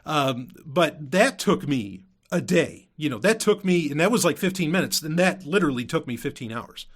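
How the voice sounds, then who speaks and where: A man's voice: a little high, lively intonation, normal speed, a bit bright, slightly rough. A man hosting a podcast alone in casual, rambling talk, often circling back to repeat himself and using informal, mildly profane language. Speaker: podcast host. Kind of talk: casual solo chat to listeners.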